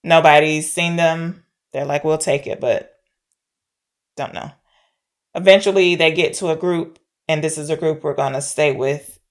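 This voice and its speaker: A woman with a low-pitched voice chats as a podcast co-host. She recaps a film informally, breaking off to question things she is unsure of. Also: fairly even intonation, unhurried. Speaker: podcast co-host discussing films. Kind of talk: casual conversation, recapping a film.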